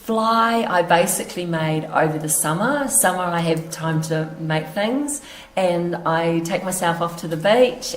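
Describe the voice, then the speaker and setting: A middle-aged woman with a New Zealand accent, slightly hoarse, chatting casually in an interview about her quilting, halting and laughing easily. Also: lowish voice, slight background noise. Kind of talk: casual chat. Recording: echoey room.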